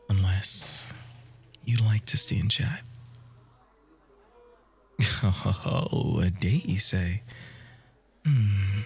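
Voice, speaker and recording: A man playing an outwardly kind, quietly manipulative doctor in an ASMR roleplay, in a breathy voice that often drops to a whisper. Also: mid-range voice, heavily acted. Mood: teasing, prying. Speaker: audio roleplay voice actor. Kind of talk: ASMR roleplay, soft intimate acting.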